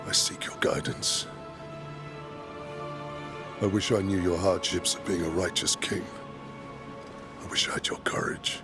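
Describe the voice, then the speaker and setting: A low gravelly prince, lonely n solemn, game cutscene with music under it. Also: male voice, fairly even intonation, a little slow, long pauses. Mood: sorrowful, reverent. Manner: solemn, brooding. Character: lonely, brooding adult prince burdened by kingship. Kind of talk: dramatic video game acting. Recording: music behind the voice.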